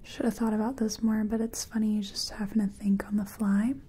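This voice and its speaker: A woman playing a nervous makeup contestant in a soft-spoken ASMR roleplay, whispering hesitantly as she thinks aloud. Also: medium pitch, flat delivery, fairly quick, slightly rough. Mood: nervous, focused. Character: nervous female makeup artist competing in a contest. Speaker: ASMR performer.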